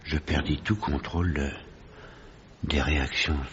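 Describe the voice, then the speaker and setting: An actor playing a grave gentleman narrator who recounts horror in measured, literary French radio drama style. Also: extremely low pitch, fairly even intonation. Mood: grave, ominous. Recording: dull, noisy old radio recording.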